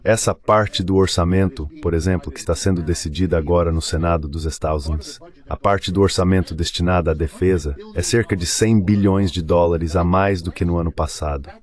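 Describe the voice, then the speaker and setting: A man's voice: sits low, fairly even intonation, a little fast, darkish tone. A man with a Brazilian accent reading a translated interview as a voice-over.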